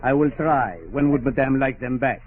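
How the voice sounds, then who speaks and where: An actor playing a polite, earnest French cleaner with a French accent and formal manner in radio comedy. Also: male voice, medium pitch, moderate pace, narrow, tinny audio, over a noisy crowd, natural voice. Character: polite, literal-minded French male cleaner. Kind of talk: 1940s radio comedy acting. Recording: very noisy, thin old radio recording.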